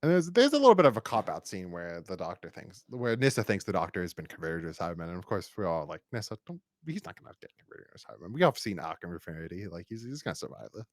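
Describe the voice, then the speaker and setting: A man with a fairly low voice talks casually as a podcast host reviewing a story. He rambles and stumbles, doubling back over his words. The sound is close and dry. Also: sing-song, quick pace, few pauses, no echo.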